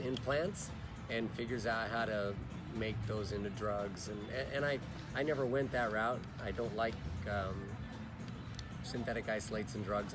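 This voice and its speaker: A hoarse middle-aged man, his voice worn out, explaining things plainly and informally as a company's chief scientific advisor in an interview at a convention. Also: fairly low pitch. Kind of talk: casual explanatory interview answers. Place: busy convention venue. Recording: loud music playing behind. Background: music.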